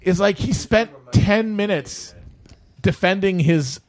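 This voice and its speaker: A young adult man co-hosting a casual comedic chat, sardonic and quick to riff. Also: high-pitched, lively intonation. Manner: sardonic, riffing with mock quotes.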